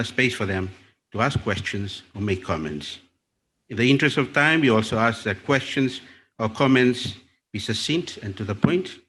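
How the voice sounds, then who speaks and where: A man from Fiji facilitating a panel discussion at a lectern, speaking in a measured, deliberate way with small restarts. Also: medium pitch, a bit bright. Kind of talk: formal event moderation. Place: conference hall. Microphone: lectern microphone.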